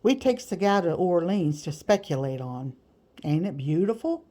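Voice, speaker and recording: A woman's voice: fairly low pitch, expressive, moderate pace, warm tone. A woman voicing a sly, boastful male slave catcher with rustic grammar in an audiobook. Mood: eager scheming. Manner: rustic nonstandard grammar, gleefully bragging. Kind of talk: audiobook character voice.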